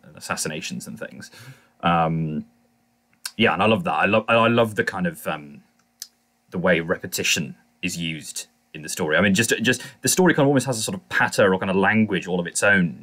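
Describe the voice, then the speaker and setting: A man as a podcast panelist in casual discussion, rambling and haltingly correcting himself as he goes. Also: deep voice, pushed and bright.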